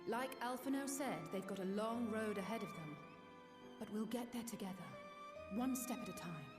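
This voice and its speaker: A woman's voice: fairly high pitch, normal speed, natural voice. An actress voicing a frank, wry and determined young woman adventurer in a video game. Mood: earnest. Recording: reverberant hall with music behind.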